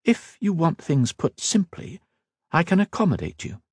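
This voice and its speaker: A man voicing a proud, wary queen who speaks in a haughty, cutting, measured way. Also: fairly high pitch, sing-song, slightly rough, no room sound, studio quality, pitched above the performer's own voice, exaggerated voice. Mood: commanding.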